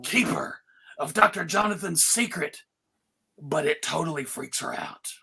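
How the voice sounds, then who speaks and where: A man's voice: fairly high pitch, expressive, measured pace, creaky, pushed and bright. A man hosting and narrating a table read in a playful, mock-formal, emphatic way. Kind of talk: playful, theatrical presenting.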